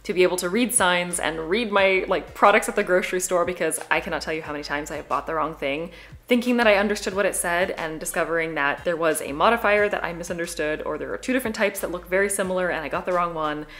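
A young woman talks to her camera from a living room sofa, chatty and upbeat. She speaks quickly, with a slightly low voice for a woman, and sounds relaxed and conversational throughout. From Midwest USA. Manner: chatty, upbeat, gestures while talking. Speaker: vlogger. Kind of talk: casual talk to camera.

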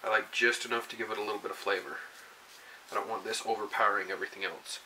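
A man's voice: lowish voice, fast, poor audio. A young man hosting a home cooking show, casually talking through each step at his kitchen stove. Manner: relaxed, folksy, talks to camera step by step. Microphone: camera mic, speaker off frame, moving around. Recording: kitchen noise, sizzling pan. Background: frying and sizzling pan.

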